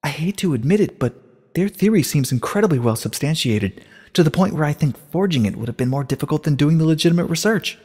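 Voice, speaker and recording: A man's voice: fairly high pitch, lively intonation, fast, a little mellow, breathy edge, pitched above the performer's own voice. A man puts on an earnest, bookish young pony princess for a storybook reading. The voice is lifted well above his own, urgent and insistent, full of questions. It rings with a long reverb. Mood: agitated, insistent. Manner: urgent, questioning, stammering at times. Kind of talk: dramatic reading of a short story.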